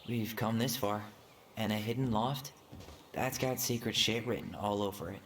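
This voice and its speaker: A laid-back young American man in a video game cutscene. His voice is a bit low, with a wry, natural way of talking. Background noise and ambience sit behind him. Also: steady tone, normal speed, a bit bright. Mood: wry, curious. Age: young adult.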